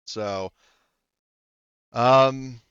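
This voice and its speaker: Guy running a tabletop rpg, clean low voice, keeps stumbling and rephrasing, kinda muffled mic. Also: a bit bright, clean tone, no echo. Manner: rambling, halting, self-correcting, full of "you know". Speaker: game master. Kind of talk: improvised tabletop game narration.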